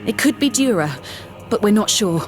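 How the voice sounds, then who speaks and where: A woman's voice: mid-range voice, lively intonation, moderate pace, over music, lightly acted. A woman playing an earnest, anxious expedition member. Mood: worried. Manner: urgent, earnest. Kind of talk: video game cutscene acting.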